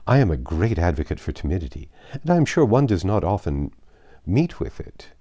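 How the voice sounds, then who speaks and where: A man's voice: sits low, big pitch swings, fairly quick, dark tone. A man voicing a pompous, gushing married society woman in a storybook reading, effusive, condescending and emphatic. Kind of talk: audiobook character reading.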